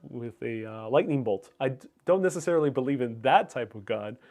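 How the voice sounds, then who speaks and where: A man whose first language is Spanish talks with his livestream viewers in a slight Spanish accent. His voice sits at an ordinary middle pitch, and he stops and restarts phrases as he searches for words. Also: animated, fairly quick, darkish tone. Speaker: livestream host. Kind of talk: casual livestream chat.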